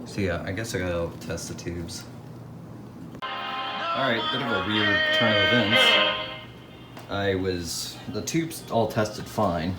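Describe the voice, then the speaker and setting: A man's voice: low voice, takes it slowly, pauses often, over loud music, poor audio. A man giving casual hands-on repair commentary, thinking aloud in a hesitant way as he works. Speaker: radio restoration hobbyist. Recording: clear background noise.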